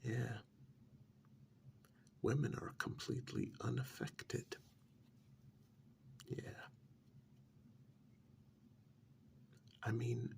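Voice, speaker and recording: A man acting in a soft-spoken ASMR role-play as a sardonic, pampered captive in a dystopia, taunting and condescending in a breathy near-whisper with deliberate pauses. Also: mid-range voice, exaggerated voice. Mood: incredulous, sardonic.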